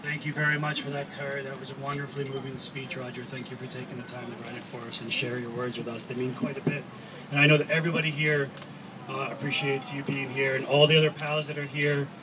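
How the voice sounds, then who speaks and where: A man's voice: medium pitch, little pitch movement, quick pace, far from the mic. A man hosting a charity event, giving informal thanks over a PA in a live public setting. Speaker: event host thanking speaker and attendees. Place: charity event finish line, before a crowd. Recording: very noisy live event PA recording.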